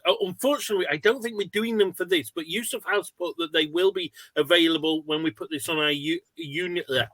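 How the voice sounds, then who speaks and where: A man's voice: on the high side, sharp tone, dry recording, poor audio. A man hosting an online webinar in a casual, rambling, conversational way. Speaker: webinar host. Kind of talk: casual chat, informal hosting. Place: online video call.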